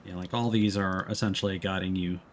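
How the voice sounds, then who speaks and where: A man with a lowish voice talks through a video game as he streams it. He explains things casually and thinks aloud. Clear background noise sits behind his voice. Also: steady tone, a little fast. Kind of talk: casual let's play commentary.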